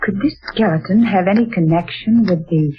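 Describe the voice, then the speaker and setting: A woman's voice: lowish voice, moderate pace, slight background noise, natural voice. An actress playing an earnest, uneasy young woman painter, on an old lo-fi radio broadcast. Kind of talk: 1940s radio acting.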